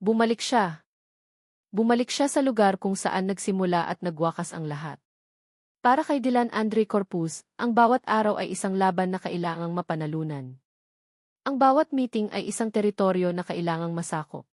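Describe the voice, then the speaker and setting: A woman's voice: medium pitch, expressive, normal speed, darkish tone, dry recording. Filipino woman narrating a dramatic story, dry sound.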